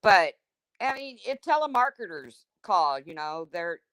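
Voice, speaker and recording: A woman with a deep, husky smoker's voice hosting a livestream, reading chat comments and answering them in a blunt, chatty way. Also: sing-song, unhurried, fairly bright tone, no room sound. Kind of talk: casual chat with viewers.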